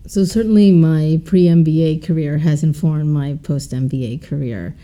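A woman who was a doctor, now an MBA student, giving calm, composed interview answers. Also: low-pitched, measured pace.

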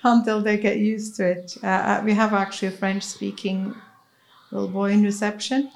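A middle-aged woman from Switzerland, a native Swiss German speaker, chatting in an interview as a head teacher, with soft laughs and restarts. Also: mid-range voice, little pitch movement, moderate pace, gravelly, a little hiss or hum. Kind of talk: casual interview chat.

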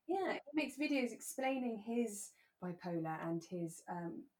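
A woman with a mid-range voice speaks as a podcast co-host. Her delivery is relaxed and informal, like chatting with a friend, with an open, candid manner. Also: mid-range voice, unhurried. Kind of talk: relaxed casual chat about a radio drama.

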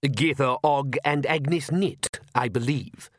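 A man's voice: medium pitch, slow, fairly bright tone, no echo, clean studio sound. A man voicing a genteel, enthusiastic older vampire count in an audiobook, formal and courtly in manner. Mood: eagerly gracious. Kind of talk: audiobook character voice.

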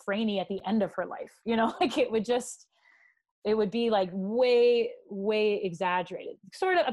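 A young adult woman from Canada with a low speaking voice, chatting loosely as a podcast guest and leaning on filler words. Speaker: guest singer. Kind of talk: casual podcast chat.

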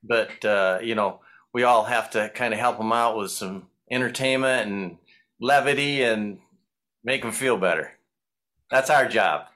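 A man's voice: lowish voice, a little slow, fairly bright tone. A middle-aged man with a Michigan accent, a guest musician chatting loosely in an interview, rambling and chuckling. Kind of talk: casual interview chat.

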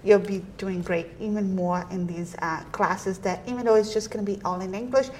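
A woman's voice: medium pitch, fairly even intonation, normal speed, a little mellow, slight background noise. A woman whose first language is Portuguese, a state representative hosting a casual conversation about education bills, talking animatedly with shifting pace.

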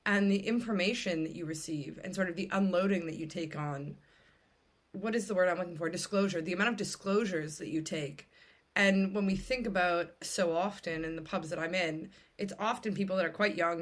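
A woman's voice: fairly low pitch, a bit bright. A woman hosting a casual conversational interview, talking in a candid, informal and emphatic way. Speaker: podcast host.

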